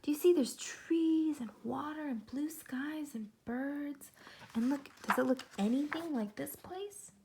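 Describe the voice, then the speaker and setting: A woman reading a story aloud to young children, expressive, with dramatic pauses, questions to the listeners and a breathy voice that often drops toward a whisper. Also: high-pitched, slight background noise, poor audio. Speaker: preschool teacher reading a picture book.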